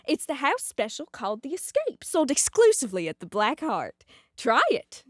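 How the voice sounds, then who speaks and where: A woman's voice: high voice, huge pitch swings, normal speed, dry recording, clean studio sound, exaggerated voice. A woman playing a teasing, grand guardian angel who coaxes like a seller in a comedic audio drama. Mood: coaxing. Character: playful, teasing fake guardian angel peddling a drink.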